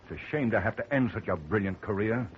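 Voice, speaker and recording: A man's voice: lowish voice, a little fast, natural voice. An actor playing a suave, mocking male villain in a 1950s radio crime drama. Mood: coolly menacing. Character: smooth-talking, menacing male villain with a gun. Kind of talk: 1950 radio acting. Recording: muffled, noisy old radio recording.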